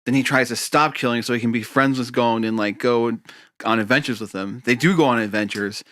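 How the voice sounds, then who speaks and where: Bright, sharp-toned male podcast co-host, animated explainer with dry asides, no room echo. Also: mid-range voice, fairly quick. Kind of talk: casual chat.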